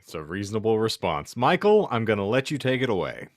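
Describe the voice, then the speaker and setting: A man with a low, very animated voice hosts a casual podcast in a dry, close recording. He phrases things in a wordy, theatrical way, as if presenting a grand show. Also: quick pace, no room sound. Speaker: podcast host and narrator of a fan fiction reading.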